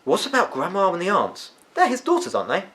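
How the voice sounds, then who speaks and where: A man's voice: high-pitched, huge pitch swings, quick pace, a bit bright, pitched above the performer's own voice, full character voice. A man voicing a curious, hesitant young schoolboy in a storybook, questioning and tentative. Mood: eager, curious. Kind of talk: storybook character voice.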